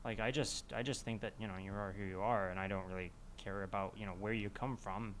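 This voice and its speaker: A man's voice: on the low side, fairly even intonation, brisk, a little hiss or hum. A man talking casually in a group podcast discussion, often fumbling his words and backtracking. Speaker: podcast panelist.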